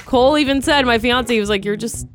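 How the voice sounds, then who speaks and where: A woman's voice: fairly high pitch, fast, faint music behind. A woman in her thirties co-hosting a morning radio show, with a slightly scratchy voice, chatty and candid, laughing often. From Northern California. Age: 30s. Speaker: radio co-host. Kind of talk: casual morning radio banter.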